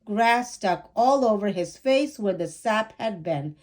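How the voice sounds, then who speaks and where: A woman reads a children's story aloud at an unhurried pace. Her voice sits at a medium pitch, and she narrates expressively, in a measured read-aloud style. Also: lively intonation, a little mellow. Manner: measured, acts out dialogue.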